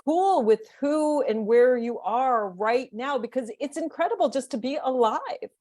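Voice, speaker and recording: A woman's voice: high-pitched, lively intonation, a little slow, dark tone. A woman hosting an interview as a midlife coach, talking in an enthusiastic, upbeat, chatty way. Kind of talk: conversational interview.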